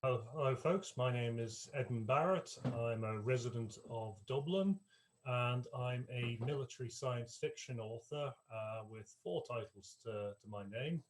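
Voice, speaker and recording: A man's voice: medium pitch, moderate pace, a little hiss or hum. A man introducing himself on a convention panel, cheerful and self-deprecating. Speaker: panelist, author.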